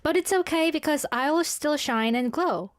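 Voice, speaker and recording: A woman's voice: high-pitched, animated, dry recording. Woman co-host, dry close sound. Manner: short backchannels, halting careful English. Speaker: radio co-host. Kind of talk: casual radio chat.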